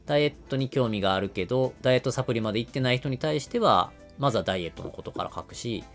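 A man's voice: mid-range voice, lively intonation, very fast, faint music behind. A man explaining things step by step in a business podcast style, using everyday examples. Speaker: podcast host explaining marketing.

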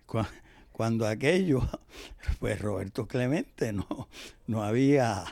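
An older Puerto Rican man, a professor being interviewed, telling history conversationally and haltingly, often pausing to search for words. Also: medium pitch, animated, creaky, darkish tone, no echo. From Puerto Rico. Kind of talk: conversational oral history storytelling.

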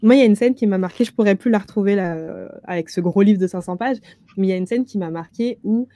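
A woman's voice: mid-range voice, quick pace, dark tone. A young woman hosting a casual book discussion, speaking in an informal, colloquial way. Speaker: book club host.

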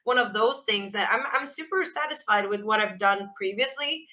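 A woman rock vocalist with a naturally raspy voice, chatting casually in an interview, with lots of fillers and restarts. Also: mid-range voice, moderate pace, pushed and bright. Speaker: rock band vocalist, guest.

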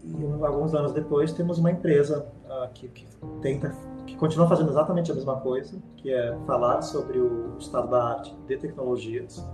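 A middle-aged man from Sweden, a futurist guest, answering thoughtfully with many pauses and restarts. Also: mid-range voice, steady tone, darkish tone. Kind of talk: conversational interview answer. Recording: roomy sound with music underneath.

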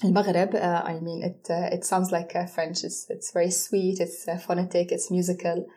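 Even-toned woman explaining patiently. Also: on the low side, moderate pace. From Jordan. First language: Arabic. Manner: patient, explanatory, searches for words. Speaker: interview guest. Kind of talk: casual interview explanation.

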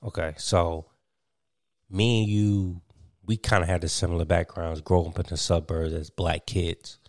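A man's voice: low voice, steady tone, normal speed, no echo, clean studio sound. A young man from Virginia hosting a casual podcast chat, rambling with lots of fillers and laughing often. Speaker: podcast host.

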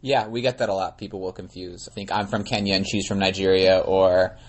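Guest, man, 20s, very low voice, laid-back, loose delivery. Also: steady tone, fairly quick. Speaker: guest and co-interviewer. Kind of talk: casual conversation.

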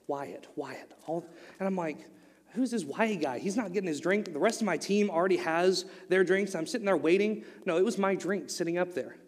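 A young man with an Oklahoma accent speaks to a youth group, shifting from a loose, chatty story into emphatic preaching. His voice sits fairly high for a man. It rings with the long reverb of a hall. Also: a little mellow. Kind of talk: youth sermon.

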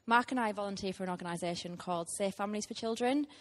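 A woman in her thirties gives a guest sermon in a church. Her voice sits at an ordinary middle pitch, and her delivery is sincere and heartfelt, with plenty of small ums between thoughts. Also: fast talker. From Teesside, England. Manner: earnest, heartfelt, with frequent ums. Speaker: guest preacher.

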